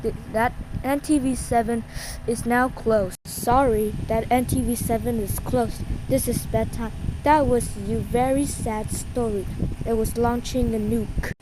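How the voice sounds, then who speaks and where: A woman improvising a narration for an emergency alert scenario, talking in a halting, repetitive way and often restarting her sentences. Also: on the high side, steady tone, noisy background. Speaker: video creator.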